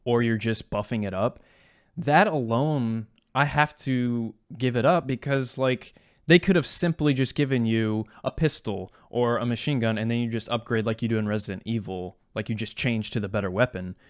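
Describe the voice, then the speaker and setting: A man's voice: medium pitch, moderate pace, no room sound, studio quality. A man co-hosting a casual gaming podcast, giving opinions and banter in a blunt, opinionated way. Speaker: podcast co-host.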